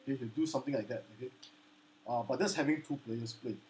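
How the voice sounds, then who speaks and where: Male uke instructor, low baritone, halting and earnest, mellow tone, faint ukulele behind. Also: faint music behind. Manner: hesitant, many restarts and "okay?" check-ins; apologetic and earnest. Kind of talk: casual spoken lesson with sung demos. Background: ukulele playing.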